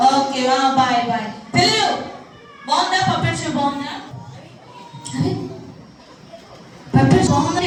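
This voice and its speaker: A woman hosting a church puppet show, telling stories to children in a teacherly way with questions and repetition. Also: high-pitched. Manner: repeats words, teacherly questioning. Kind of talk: animated storytelling for children. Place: echoey church hall, far from mic. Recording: distant, echoey, noisy hall recording.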